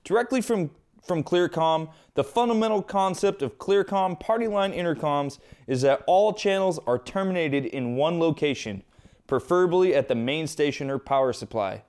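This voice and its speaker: A man with a fairly high, clear voice walks through a technical tutorial. He explains each step informally and conversationally, like a hands-on instructor. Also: animated, normal speed, darkish tone. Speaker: instructor presenting an equipment tutorial.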